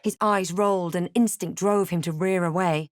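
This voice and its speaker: A woman playing a wry, wary spellcasting adventurer in a video game, speaking in a measured, dramatic and dry manner. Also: lowish voice, steady tone, dry recording, lightly acted. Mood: hushed narration. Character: snarky adventurer heroine, wary, wry, spellcasting fantasy protagonist. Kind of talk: video game voice lines, remarks and first-person narration.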